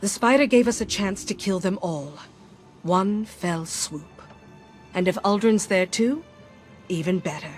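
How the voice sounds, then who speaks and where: A woman's voice: mid-range voice, a little slow, faint music behind, natural voice. A woman playing a grim, vengeful warrior commander in a video game, speaking solemnly and with resolve. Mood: resolute, vengeful. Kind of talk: video game character acting.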